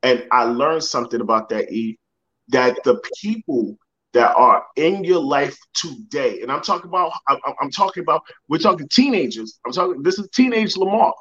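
A young man telling a story with a lively voice that rises and falls a lot, sitting a little high for a man. He acts out the people he quotes and repeats words as he goes. The sound is dull and lo-fi. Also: normal speed. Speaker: guest, motivational speaker. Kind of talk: storytelling in a podcast interview.

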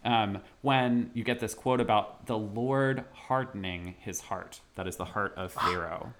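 A man's voice: fairly low pitch, a little slow, background noise. A man co-hosting a podcast, discussing theology in a casual scholarly way with jokey asides. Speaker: podcast co-host.

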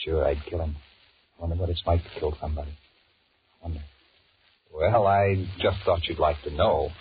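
An actor playing a nervous middle-aged married businessman, blustering and stammering, in 1940s radio drama style on a muffled, noisy old recording. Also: very deep voice. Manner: stammering aloud, muttering anxious repeated thoughts. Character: panicky married businessman, blustering and cowardly, secretly scheming. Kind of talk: 1940s radio horror acting with inner monologue.

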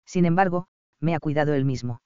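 A man narrating a first-person romance audiobook in a calm, even storytelling manner, in a slightly dull, dry recording. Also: fairly high pitch, fairly quick, clean tone, dry recording.

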